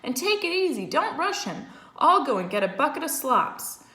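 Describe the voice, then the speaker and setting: A woman's voice: sits high, very animated, normal speed, pushed and bright, voice pushed up, heavily acted. A woman voicing a middle-aged male farmer giving orders, in an echoey room. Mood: commanding.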